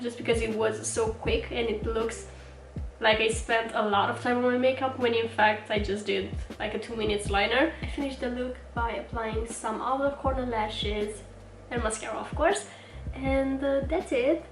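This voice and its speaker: A woman's voice: on the high side, fairly even intonation, normal speed. A young woman hosting a casual makeup tutorial, chatty and slightly stuffy from a cold as she works at a mirror. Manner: chatty, talks while working at a mirror. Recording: roomy, mic at a distance, music underneath. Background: background music.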